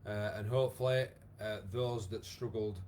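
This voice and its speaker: A man with a croaky voice, leading a live online exercise class with many fillers. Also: fairly low pitch, fairly even intonation, moderate pace, a little mellow, slight background noise. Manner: chatty, encouraging, lots of fillers. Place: home room with wall and bar. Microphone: device camera, moves away at times.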